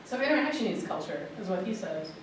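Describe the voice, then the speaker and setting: A woman with a slightly low voice gives a talk like a teacher, loose and conversational, full of fillers. She sounds distant from the mic. The room rings with heavy echo, and a faint hum sits under her voice. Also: expressive, quick pace. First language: English. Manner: casual and chatty, with many fillers like "um" and "like". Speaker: language teacher presenting a workshop. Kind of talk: conference presentation talk. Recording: echoey room, voice from far off.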